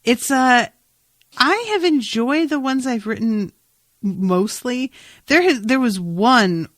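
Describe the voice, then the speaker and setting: A woman hosting a podcast, chatting casually and recapping a story in an informal, expressive, sweary way. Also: on the high side, takes it slowly, no echo, clean studio sound. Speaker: podcast host. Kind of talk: casual chat, recapping a TV episode.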